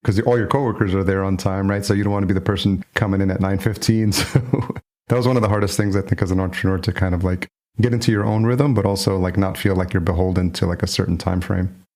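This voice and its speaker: A man's voice: deep voice, fairly even intonation, fast, darkish tone. A man with an American accent from New York, speaking conversationally and reflectively as a podcast host. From New York, United States. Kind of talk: conversational interview.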